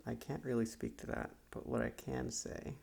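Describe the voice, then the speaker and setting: A man playing a soft-spoken, quietly sinister psychiatrist in an ASMR roleplay, with measured, hesitant clinical speech and a husky, gravelly voice. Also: medium pitch, little pitch movement. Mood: soothing, measured.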